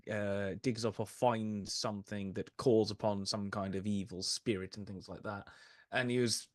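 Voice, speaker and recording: A man with a fairly low voice talks in an enthusiastic, conversational way as a podcast panelist. The recording is dry and close, with little room sound. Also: dry recording. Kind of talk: casual film discussion.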